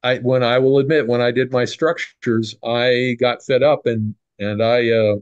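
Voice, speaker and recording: A man's voice: mid-range voice, steady tone, a little slow, clear, resonant tone, no echo, lo-fi sound. A middle-aged man hosting a casual video call from home, stumbling over repeated words and restarts and dropping folksy sayings. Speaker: clinic host. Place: home room. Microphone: video call webcam.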